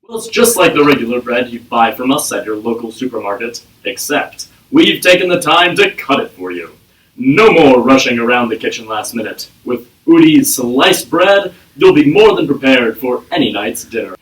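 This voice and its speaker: A man's voice: medium pitch, very animated, moderate pace, a bit bright. A man playing a reassuring, folksy, upbeat salesman in a retro radio commercial. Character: reassuring, folksy male pitchman in retro advert. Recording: echoey, distant from microphone.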